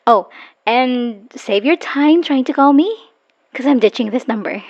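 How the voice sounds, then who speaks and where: A slightly muffled recording carries a high female voice, an actress as a woman worn out by an abusive relationship. She is bitter and scornful, hitting her words hard like someone finally leaving a furious goodbye voicemail in an audio drama. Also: lively intonation, unhurried, heavily acted. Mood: bitter, defiant. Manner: scornful, swearing, emphatic. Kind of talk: dramatic audio roleplay monologue, spoken as a voicemail.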